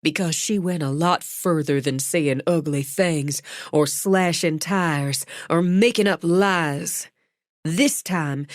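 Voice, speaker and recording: A woman's voice: on the low side, dry recording, natural voice. Woman voicing a determined young woman, earnest, blunt, dry close voice.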